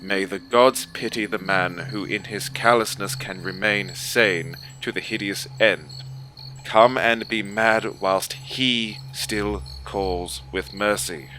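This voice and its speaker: A man's voice: lowish voice, steady tone, slow, pushed and bright, voice pushed up. A man voicing a fanatical, mad German submariner, speaking with urgent, emphatic fervour. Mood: frenzied, fervent. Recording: clear ambient noise bed behind voice.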